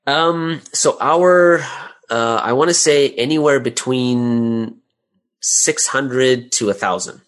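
A man's voice: medium pitch, slow pace. A man answering interview questions casually as a business owner, thinking aloud and repeating phrases as he explains. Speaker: interview guest, audio drama creator and business owner.

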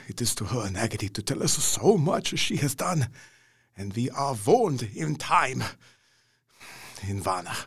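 A wise, elderly professor, husky and solemn. His pitch rises and falls a lot as he speaks in odd, word order. Fatherly and grave, from an audio drama. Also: exaggerated voice. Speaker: Van Helsing.

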